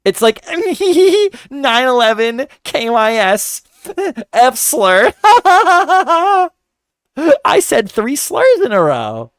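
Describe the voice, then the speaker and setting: A man's voice: very high voice, wildly swooping pitch, extremely slow, a bit bright, smooth, dry recording, studio quality. A man giving mocking, profane commentary in a sarcastic, scornful way. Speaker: commentary host.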